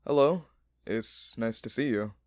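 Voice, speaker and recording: An actor playing an earnest, modest young alchemist in an audio drama, explaining things politely and matter-of-factly. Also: male voice, medium pitch, a little slow, background noise, close to an everyday voice. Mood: apologetic, friendly.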